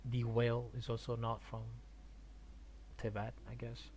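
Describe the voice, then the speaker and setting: Halting male game streamer, faint background noise. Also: mid-range voice, monotone, a little mellow. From Argentina. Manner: halting, repeats words, thinks aloud. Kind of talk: casual rambling stream chat about games.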